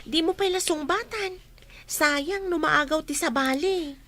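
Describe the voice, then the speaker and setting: An actress playing a teasing, nosy younger sister in an Ilocano radio drama. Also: female voice, high-pitched, measured pace, sharp tone.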